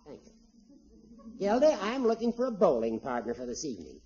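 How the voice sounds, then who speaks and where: An actor playing a genial, teasing judge in a 1950s radio comedy, with an animated manner. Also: male voice, on the high side, a little fast. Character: genial, needling male judge, teasing old crony. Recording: muffled old radio recording.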